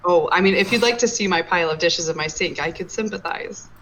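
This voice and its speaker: A woman's voice: on the low side, fast talker, a bit bright, poor audio. A woman chatting casually as a player on a tabletop game stream. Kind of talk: casual chat. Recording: clear background noise, live stream.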